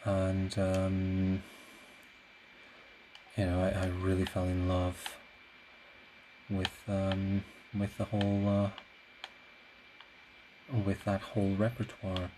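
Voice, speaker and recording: A man, a native Portuguese speaker, talks alone in a low voice with little rise and fall. He rambles casually and thoughtfully, often pausing to find the right word, with clear noise behind him. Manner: hesitant, reflective, searching for words. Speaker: podcast host; classical singer. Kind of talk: casual solo rambling monologue. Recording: clear steady background noise.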